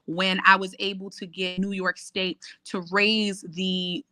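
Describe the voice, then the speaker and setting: Woman candidate, bright forceful voice, dry close sound, sure of herself. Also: medium pitch, flat delivery, takes it slowly, no echo. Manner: assured, persuasive, lists achievements. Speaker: city council candidate. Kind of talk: campaign pitch on a call-in show.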